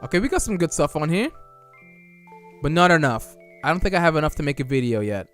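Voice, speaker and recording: A man's voice: a little high, sing-song, fast, fairly bright tone, faint music behind. Chatty young male streamer, voice going. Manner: chatty, reads chat aloud and answers it, loose restarts. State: losing his voice. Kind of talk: casual live-stream chat reading viewer messages.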